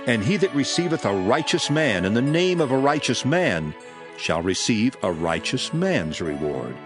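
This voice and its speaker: A man playing a solemn, authoritative male religious teacher in a dramatized scripture reading, with measured, emphatic delivery. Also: medium pitch, very animated, lightly acted. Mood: solemn assurance. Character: Jesus, solemn authoritative male teacher addressing his disciples. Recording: music behind the voice. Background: background music.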